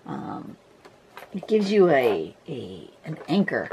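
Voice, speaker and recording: A woman with a congested voice teaching a drawing pattern in a casual, chatty way, often restarting and clearing her throat. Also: very deep voice, huge pitch swings, slow, warm tone, slight background noise, poor audio. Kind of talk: casual tutorial narration. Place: home desk, camera over the drawing surface.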